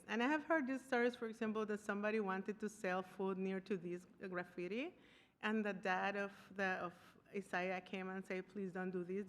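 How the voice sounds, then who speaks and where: Woman speaker from Costa Rica, conference presentation, halting and searching for words, long hall reverb. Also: mid-range voice. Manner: searching for words, frequent restarts and fillers. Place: conference room.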